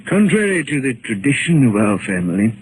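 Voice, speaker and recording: A man playing a courtly, apologetic, eccentric gentleman, hesitant and formal, in 1940s radio acting style. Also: mid-range voice, very animated, a little fast, lightly acted. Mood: apologetic, earnest. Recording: noisy 1940s radio recording.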